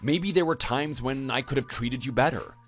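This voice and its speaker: A man's voice: mid-range voice, moderate pace, faint music behind, close to an everyday voice. A man playing a regretful, pleading husband in a radio drama, speaking earnestly. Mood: apologetic. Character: pleading, regretful husband begging his wife back. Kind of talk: dramatized story dialogue.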